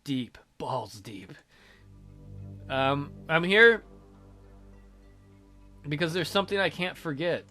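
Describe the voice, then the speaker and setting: A man's voice: fairly high pitch, pushed and bright. A man reading a visual novel aloud theatrically as a let's play host, with casual, jokey asides.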